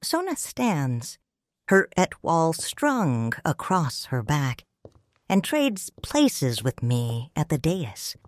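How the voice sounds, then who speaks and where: Low, slow woman narrating a story. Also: wildly swooping pitch, no room sound, studio quality. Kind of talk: audiobook narration, first person.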